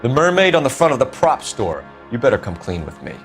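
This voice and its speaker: An actor playing a stern, formal 1940s police detective with a blunt, accusatory manner in a crime game. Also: male voice, medium pitch, very animated, a little fast, over music, natural voice. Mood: pressing.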